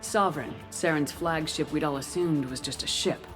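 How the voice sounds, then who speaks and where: A woman acts a sci-fi game's military commander, grave and determined. The voice is low for a female speaker, measured and authoritative, with cinematic music clearly behind it. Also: natural voice. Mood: grave recollection. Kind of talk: video game dialogue and scripted narration. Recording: music under the voice.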